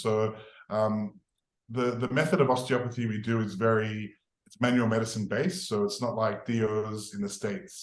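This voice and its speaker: A middle-aged man with an Australian accent, a podcast guest telling his story in a relaxed, rambling way with many fillers. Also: lowish voice, reverberant. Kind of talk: podcast interview.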